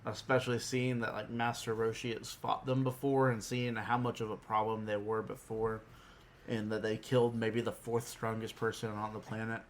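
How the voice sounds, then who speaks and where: A man with a slightly hoarse voice, hosting a podcast and chatting casually. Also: on the low side, flat delivery, normal speed. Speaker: podcast host. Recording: noticeable background noise.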